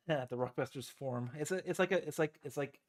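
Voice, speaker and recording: A man's voice: fairly high pitch, moderate pace. A man co-hosting a casual live stream, rambling with many fillers and sounding stuffy from a cold. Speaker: co-host.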